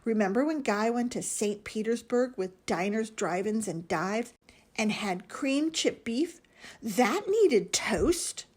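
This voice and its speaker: A woman voicing an excitable male kitchen mixer who talks eagerly. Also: a little high, slow pace. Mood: excited.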